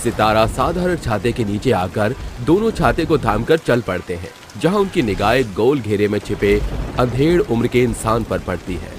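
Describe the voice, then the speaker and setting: A man's voice: mid-range voice, animated, normal speed, slight background noise. A man telling a scripted children's story as its narrator. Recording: music behind the voice.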